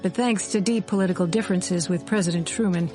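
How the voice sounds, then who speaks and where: A woman's voice: fairly low pitch, fast, natural voice. An actress playing a composed, wry intelligence insider, narrating her memories in a scripted radio drama. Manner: storytelling, knowing, composed. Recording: music loud behind the voice. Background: loud music.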